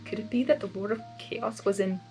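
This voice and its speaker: A woman reading fan fiction aloud in expressive storybook narration, with informal asides. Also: mid-range voice, fairly quick, music in the background, low-quality recording.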